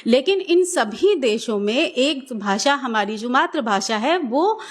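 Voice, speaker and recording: A woman's voice: high-pitched, animated, slow. A middle-aged woman, a government school teacher, answering interview questions in an earnest, persuasive way with some hesitant fillers.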